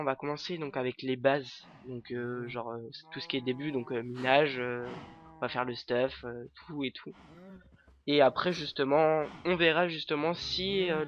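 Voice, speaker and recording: A man's voice: medium pitch, a bit bright, muffled recording. A man hosting a let's play with a stuffy nose from a cold, talking through his game in hesitant, filler-heavy rambles. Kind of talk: casual gameplay commentary.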